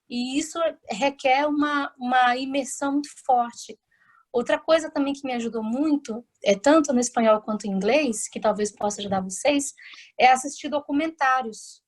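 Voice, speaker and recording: High-voiced woman sharing advice earnestly. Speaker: guest. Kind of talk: casual video-call chat.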